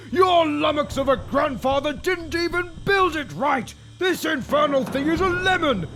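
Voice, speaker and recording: A man's voice: extremely high pitch, gravelly, full character voice. A man voicing a rich, scheming old man obsessed with outliving his brother, in a fan comic dub. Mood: furious. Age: older. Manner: pompous, theatrical, erupts into shouting rants. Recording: voice over loud background music. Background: music.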